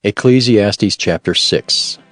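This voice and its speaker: A man gives formal, scripted announcements in a fairly low voice. He speaks very slowly and deliberately. The recording is close and dry, with soft music underneath. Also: no room sound. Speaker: chapter announcer. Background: background music.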